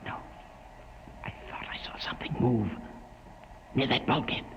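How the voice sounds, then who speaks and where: An actor playing an anxious, devout companion, weary and plaintive, in a 1950s radio drama. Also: male voice, mid-range voice, a little slow, whispered, close to an everyday voice. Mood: nervous. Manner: nervous, plaintive. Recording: muffled noisy vintage radio, reverberant.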